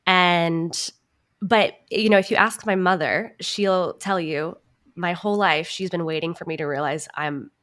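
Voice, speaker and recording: A woman's voice: on the low side, steady tone, measured pace, a bit bright. A woman answering interview questions conversationally, in a reflective and earnest manner. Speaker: podcast guest, actor and writer.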